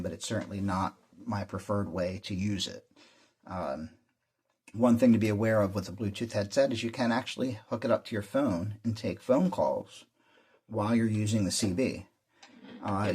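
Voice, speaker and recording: A man with a husky voice giving an unscripted, matter-of-fact hands-on technical tutorial as the host. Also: fairly low pitch, a little mellow. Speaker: host demonstrating radio repair.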